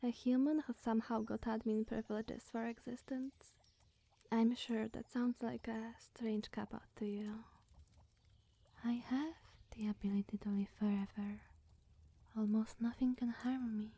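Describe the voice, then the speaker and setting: A woman playing a lonely, awkward immortal witch in an ASMR roleplay, speaking in a breathy, whispery, hesitant and coy way. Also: on the high side, level tone, a little slow, warm tone, no room sound. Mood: wistful confession.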